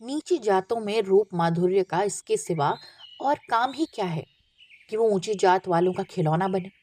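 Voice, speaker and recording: A woman's voice: mid-range voice, moderate pace, darkish tone, no room sound. A woman reading a literary story aloud as an audiobook narrator, with expression.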